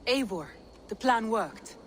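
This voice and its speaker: A stern female warrior chieftain from a historical video game. She speaks gravely and deliberately, carrying the weight of betrayal, over faint background noise. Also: fairly high pitch, animated. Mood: calm, confiding. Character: commanding Danish woman clan leader, stern and wounded by betrayal. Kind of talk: cinematic game voice acting.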